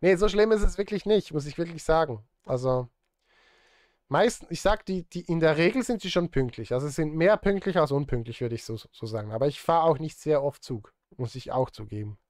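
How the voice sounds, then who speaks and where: A man in his thirties talks casually on a livestream. His voice sits a little high for a man. He addresses his chat in an easy conversational way, recorded close and dry with very little room sound. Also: lively intonation, a little fast, darkish tone, dry recording. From Switzerland. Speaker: livestreamer and film music composer. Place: indoor home studio.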